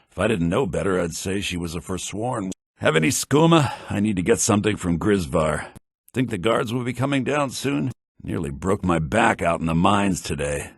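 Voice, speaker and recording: Brash, gravelly fantasy game townsman. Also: fairly low pitch, a bit bright, no echo, studio quality, full character voice. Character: brash, sharp-tongued fantasy townsman and fighter. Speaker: video game voice actor. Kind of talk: fantasy video game character barks.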